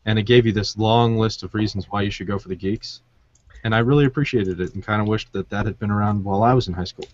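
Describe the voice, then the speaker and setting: A man's voice: lowish voice, steady tone, fast, no echo. A man hosting a podcast, interviewing in a casual, conversational way. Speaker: podcast host. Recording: dull, dry lo-fi audio.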